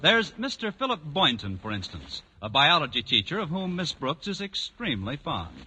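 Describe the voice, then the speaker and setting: A man's voice: a little high, sing-song, normal speed, sharp tone, slightly rough, low-quality recording. A man announcing a scripted old-time radio show and its sponsor. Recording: old radio broadcast with music. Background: music.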